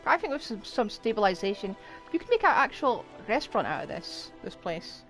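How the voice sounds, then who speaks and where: Lively woman streamer commenting. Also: mid-range voice, fairly quick, soft background music. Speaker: game streamer. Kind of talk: casual live commentary over a game.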